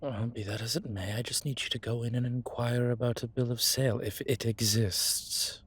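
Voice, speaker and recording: Suave male vampire for audio roleplay, played by a man: husky, breathy, measured, sentimental with dry wit. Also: mid-range voice, steady tone, lightly acted. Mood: fond, persuasive. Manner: measured, wry, formal wording. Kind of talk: scripted intimate audio roleplay, one side of a conversation.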